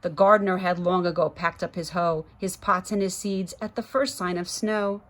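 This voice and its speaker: A woman's voice: fairly low pitch, steady tone, normal speed, fairly bright tone. A woman reading a rhyming children's story aloud expressively, with chatty asides to young listeners. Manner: rhythmic, dramatic, addressing children. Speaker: guest storybook reader.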